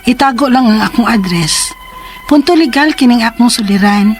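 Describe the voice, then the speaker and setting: A woman's voice: fairly high pitch, expressive, moderate pace, lightly acted. A woman playing an earnest, timid fifteen-year-old schoolgirl in a radio drama. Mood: earnest, troubled.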